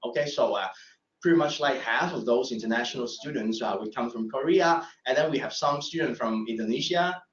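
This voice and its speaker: A middle-aged man, a professor giving a live admissions webinar, speaking haltingly with many fillers and restarts. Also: mid-range voice. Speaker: professor presenting admissions talk. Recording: echoey room, distant mic, dull sound.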